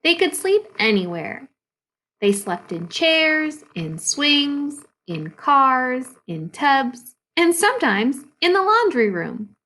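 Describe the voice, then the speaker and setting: Slow, lively woman reading to children. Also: high-pitched. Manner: expressive, deliberate storytelling with dramatic pauses. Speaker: second-grade teacher. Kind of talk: storybook read-aloud for young children.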